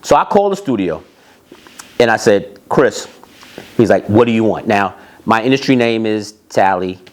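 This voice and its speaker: A man telling anecdotes in an animated, emphatic way, repeating phrases and acting out bits of dialogue. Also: on the low side, normal speed. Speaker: storyteller, music industry executive.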